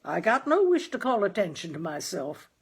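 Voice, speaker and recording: A woman's voice: mid-range voice, big pitch swings, normal speed, slightly rough. A woman voicing a stubborn, grumpy father in a storybook reading, with blunt, folksy, declamatory speech. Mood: stubborn, dry.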